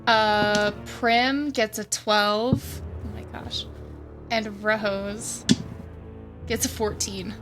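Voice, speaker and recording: A woman's voice: mid-range voice, steady tone, extremely slow. A woman playing a tabletop roleplaying game on a livestream, chatting casually and thinking aloud hesitantly. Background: music.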